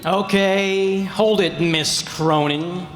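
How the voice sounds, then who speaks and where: A man's voice: sits high, steady tone, extremely slow, over loud music. A man playing a menacing, taunting killer who extorts women in an old-time radio crime drama. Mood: cool menace. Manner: taunting, threatening. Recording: echoey hall, background noise.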